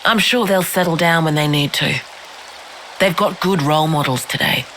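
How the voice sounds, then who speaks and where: A woman voicing a calm, level-headed leader in a video game cutscene, composed and reassuring. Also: sits low, normal speed, a little hiss or hum, poor audio. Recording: music behind the voice.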